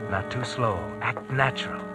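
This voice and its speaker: Male actor as ominous narrator for a vintage radio thriller, needling and close, medium pitch, scored with music. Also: moderate pace, natural voice. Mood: tense, driving. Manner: taunting, intimate second-person address. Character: ominous male narrator addressing the anxious man he follows. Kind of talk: 1940s radio suspense narration. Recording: vintage 1940s radio recording.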